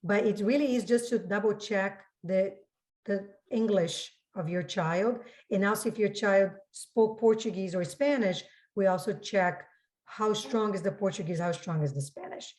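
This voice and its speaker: A woman with a mid-range voice speaks as a school registrar fielding questions. Her delivery is informal and explanatory, and she often restates a point to make it clear. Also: medium pitch, normal speed, darkish tone. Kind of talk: informal spoken explanation in a public Q&A.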